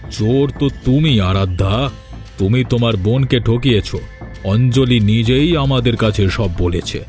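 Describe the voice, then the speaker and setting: A man's voice: mid-range voice, measured pace, darkish tone, soft background music, natural voice. A man voicing a scheming merchant who talks in a smug, threatening way in a cartoon drama. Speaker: villain.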